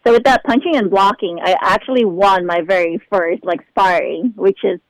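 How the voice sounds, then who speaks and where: A young woman from the Philippines talks in a bubbly, easy way, breaking into laughter as she goes. Her pitch sits right in the middle for a woman. The sound is close and dry, with no room around it. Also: moderate pace, no echo, rough recording. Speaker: show regular being interviewed. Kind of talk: casual interview chat.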